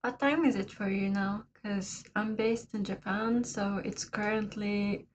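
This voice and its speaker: A woman hosting a laid-back livestream chat, her voice raspy and hoarse today, often laughing between remarks. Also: medium pitch, fairly even intonation, a little slow, slight background noise. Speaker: livestream host. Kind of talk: casual chat with viewers.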